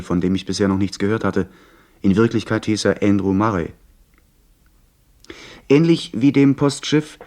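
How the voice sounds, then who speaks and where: Courteous young adventurer for radio drama, lowish register, polite and a little hesitant, telling his seafaring tale. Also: darkish tone. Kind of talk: 1970s German radio play acting and narration.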